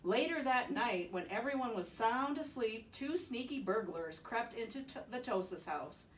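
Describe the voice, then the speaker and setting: Female storybook reader, unhurried and playful, recorded away from the mic with faint noise. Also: a little high, roomy sound, recorded from a distance, rough recording. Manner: giggly, expressive reading with playful asides. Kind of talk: bedtime story read aloud.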